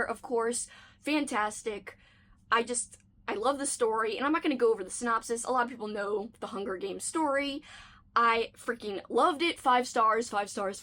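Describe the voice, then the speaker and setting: A woman's voice: a little high, a bit bright. Chatty woman with a slightly worn voice. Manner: chatty and enthusiastic, with playful asides. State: slightly losing her voice. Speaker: book reviewer. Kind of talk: casual reading wrap-up chat.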